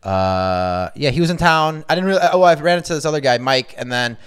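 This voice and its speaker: This young man talks quickly, with pitch that leaps all over. He swears constantly and jumps into loud, exaggerated voices when he retells what people said. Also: animated, clean tone. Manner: crude, swears a lot, bursts into loud put-on voices when quoting people. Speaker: podcast co-host. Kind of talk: crude casual chat.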